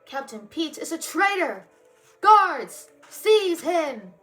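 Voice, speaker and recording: A woman voices a brave little storybook mouse, a pauper boy calling out in defiance. The voice jumps high and loud, urgent and bold. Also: big pitch swings, slow pace, faint music behind, full character voice. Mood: urgent, defiant. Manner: shouting, urgent. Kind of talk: storybook read-aloud character voice.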